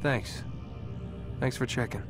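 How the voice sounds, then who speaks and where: An actor playing a sarcastic, frustrated young captive in a video game, speaking in a casual, exasperated way. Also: male voice, mid-range voice, slow, music in the background, natural voice. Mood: subdued. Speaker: captive hero in a game cutscene.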